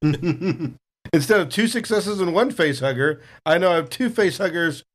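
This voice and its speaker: A man's voice: a little high, expressive, a little slow. A man chatting casually as a player in a tabletop role-playing game, describing his moves.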